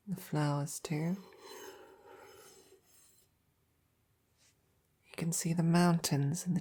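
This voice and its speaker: A woman playing a devoted, courteous female knight in an intimate romantic audio roleplay, speaking softly and breathily with gentle, halting, formal phrasing. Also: fairly low pitch, exaggerated voice. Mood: tender, content. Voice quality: breathy, soft. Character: devoted female royal knight, tender, courteous, easily flustered.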